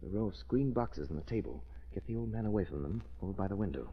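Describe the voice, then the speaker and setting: An actor playing a resolute gentleman sleuth in a 1930s radio drama, giving urgent, clipped orders. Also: sits low, animated, quick pace, a crowd in the background. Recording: dull old radio recording.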